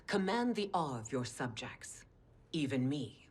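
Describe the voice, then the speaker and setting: Regal scheming queen mother for game cinematic: very low voice, composed, formal, proud. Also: big pitch swings, husky, exaggerated voice. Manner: stately, measured, formal. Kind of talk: video game cinematic acting.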